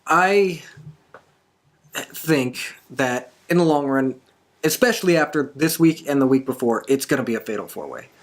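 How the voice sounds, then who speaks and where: An upbeat host runs the casual talk from a couch, rambling a little before landing the point. the voice is mid-pitched, with quick back-and-forth banter. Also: animated. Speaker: podcast host. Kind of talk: casual chat. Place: indoors on a couch.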